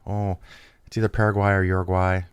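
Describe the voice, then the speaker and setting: A man's voice: deep voice, little pitch movement, fairly quick, clear, resonant tone, dry recording. A man hosting his own show and talking casually, rambling and correcting himself while he has a cold. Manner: rambling, self-correcting, chatty. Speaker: podcast host. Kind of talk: casual solo talk.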